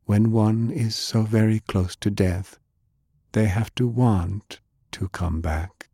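A man voicing a calm, formal ruler of an empire who speaks slowly and coldly in a storybook reading. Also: fairly low pitch, slightly rough, no echo, studio quality, heavily acted. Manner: measured, formal. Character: calm powerful leader, formal and cold.

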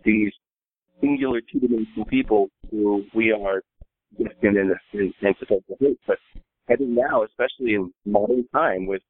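An American man, an author, speaking reflectively on a panel in a deliberate, halting way that repeats words. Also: fairly low pitch, husky, no echo. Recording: narrow phone-like line.

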